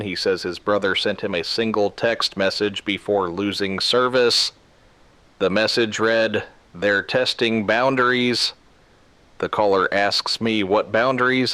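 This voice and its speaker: Man acting as solemn male radio newscaster, bright forceful tone, dry close sound, deliberate clipped phrasing, emergency broadcast drama. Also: fairly low pitch, dry recording. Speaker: radio news host. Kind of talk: scripted emergency broadcast narration.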